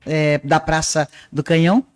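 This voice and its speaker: An older woman from Northeast Brazil with a softened Northeastern accent, telling her life story as an interview guest in an unhurried way. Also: deep voice, steady tone. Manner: unhurried storyteller with small restarts. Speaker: guest, art teacher and radio presenter.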